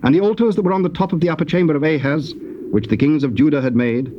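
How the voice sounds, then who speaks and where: A man narrating scripture from a script in a measured, formal manner. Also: on the high side. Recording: dull cassette transfer with faint noise.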